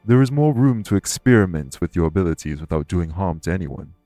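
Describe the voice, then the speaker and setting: A man playing a courteous, dour immortal king in an audio roleplay, speaking in a formal, measured way. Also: deep voice, expressive, music in the background. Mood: courteous, reassuring. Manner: formal, measured, gravely polite. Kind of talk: immersive audio roleplay acting addressed to listener.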